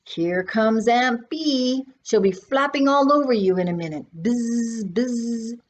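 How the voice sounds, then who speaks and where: A woman's voice: a little high, takes it slowly, slight background noise, voice pushed up. A woman reading a storybook in the part of a teasing, mocking boy cousin. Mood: sly teasing. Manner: taunting.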